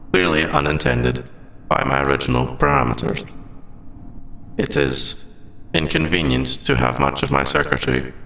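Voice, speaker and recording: Man voicing a halting, gravelly, conflicted AI. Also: mid-range voice, pushed and bright, reverberant, a little hiss or hum, heavily acted, poor audio. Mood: conflicted, hesitant. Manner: halting, formal, clipped robotic phrasing. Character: lovestruck sentient AI, formal, conflicted, hesitant about forbidden feelings. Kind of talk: ASMR audio roleplay, scripted monologue.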